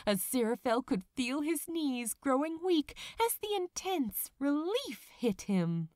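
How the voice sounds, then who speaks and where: A woman reading fiction aloud as an audiobook narrator, with dramatic, deliberate phrasing. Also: sits high, very animated, darkish tone, dry recording, studio quality. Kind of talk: expressive scripted story narration.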